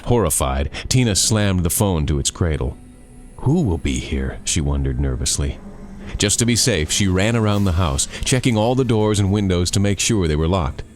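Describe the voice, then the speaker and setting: A man narrating a scripted scary campfire story, dramatic, with deliberate pauses. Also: low-pitched, lively intonation. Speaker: author and narrator.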